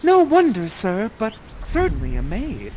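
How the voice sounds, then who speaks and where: A man's voice: high voice, huge pitch swings, a little slow, noisy background, heavily acted. A man voicing a sheltered young noblewoman, speaking verse in a raised, breathless voice. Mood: wonderstruck.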